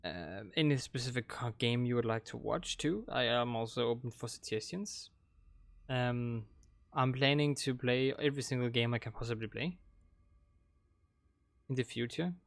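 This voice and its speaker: A young man speaks English with a Danish accent, his voice gravelly with a touch of fry. He rambles casually and hesitantly, full of ums and restarts. The sound is close and dry, with no room around it. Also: mid-range voice, normal speed, no echo. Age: young adult. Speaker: gaming channel host. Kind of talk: casual commentary wrapping up a playthrough. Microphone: desk microphone.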